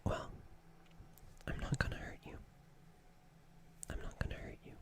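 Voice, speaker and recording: A performer playing a gentle, nervous alien in a whispered ASMR roleplay, speaking in a breathy, hesitant, stammering and soothing way. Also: measured pace, natural voice. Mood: reassuring, concerned. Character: gentle, nervous alien caring for an injured human.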